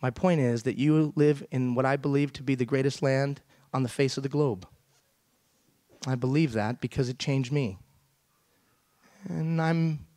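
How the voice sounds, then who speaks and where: A man's voice: mid-range voice, darkish tone, dry recording. Emphatic man giving a keynote speech. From Iran. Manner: emphatic, rhetorical, asks the audience questions. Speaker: keynote speaker. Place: large theater stage. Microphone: headset mic.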